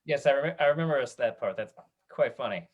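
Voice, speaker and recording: A woman's voice: very deep voice, expressive, fast talker, slightly rough, highs cut off. A woman hosting a video call interview, prompting guests with encouraging questions. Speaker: host, moderator.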